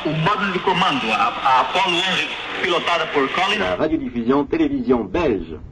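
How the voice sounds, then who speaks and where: Echoey man announcer through loud noise. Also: on the high side, expressive, fairly quick. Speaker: radio announcer. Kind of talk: archive broadcast announcing. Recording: very noisy, echoey archive radio.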